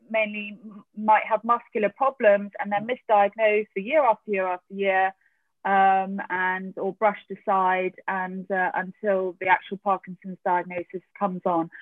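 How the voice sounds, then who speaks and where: A woman's voice: mid-range voice, no echo. A woman hosting a podcast interview, encouraging and chatty, with hesitant fillers. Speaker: podcast host. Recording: tinny phone-like line, dry.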